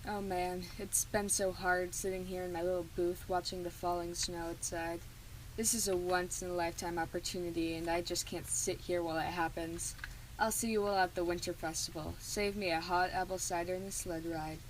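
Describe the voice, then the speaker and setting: A wistful small-town radio host played by a teenage girl speaks in a lower register with an eager streak. It is a radio play monologue with faint noise behind the voice. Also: flat delivery, normal speed, lightly acted. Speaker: student performer in a school radio play. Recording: noisy room ambience.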